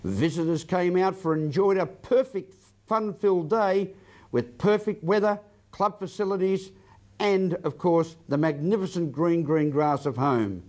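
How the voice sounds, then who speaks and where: Aussie guy with a highish voice doing local tv news, wordy flowery interviewer. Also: measured pace, a little mellow, no room sound. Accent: Australian.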